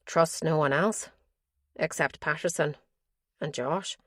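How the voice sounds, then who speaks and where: A weary male detective from an audiobook thriller, dogged and level, asking his questions in a measured way, as a woman reads him. His tone sits low and the sound is dry and close. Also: fairly bright tone, dry recording, full character voice. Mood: grim.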